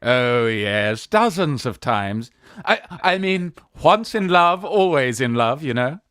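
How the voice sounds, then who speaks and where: A woman with a British accent playing a flustered young gentleman who poses as a rich old aunt in a theatrical Victorian farce. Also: extremely low pitch, big pitch swings, slow pace, dry recording, studio quality, full character voice. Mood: bluffing, hesitant. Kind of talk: Victorian stage farce, radio play acting.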